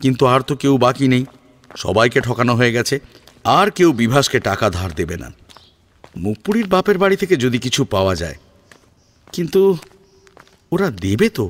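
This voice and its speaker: Expressive male storyteller, lively pitch. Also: moderate pace, long pauses. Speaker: story narrator and voice actor. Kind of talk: dramatic scripted narration.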